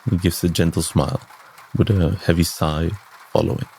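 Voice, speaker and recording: A man playing a tabletop roleplaying game, describing his character's actions in a deliberate, halting way. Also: low voice, little pitch movement, dark tone, slight background noise. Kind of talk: improvised third-person narration of his character.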